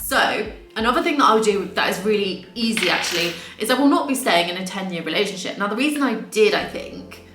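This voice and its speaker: A woman's voice: medium pitch, expressive, brisk, few pauses, reverberant, music in the background. A woman in her late twenties, a vlogger talking candidly in a casual get-ready-with-me monologue, with exasperated asides. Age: late 20s.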